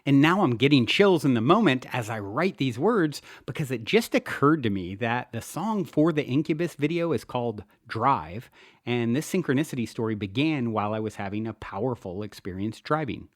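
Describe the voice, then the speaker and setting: A man reads his own self-help book aloud as a narrator, with an earnest, expressive delivery. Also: medium pitch, moderate pace, no echo, clean studio sound. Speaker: author and show host reading own book. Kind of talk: audiobook narration, first-person self-help.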